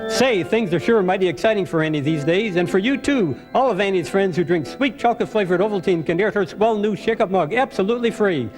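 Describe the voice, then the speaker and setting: A man's voice: sits high, animated, quick pace, few pauses. An American man playing an eager 1940s announcer of a children's radio show. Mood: eager, selling. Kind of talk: old-time radio announcing. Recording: reverberant room with music behind.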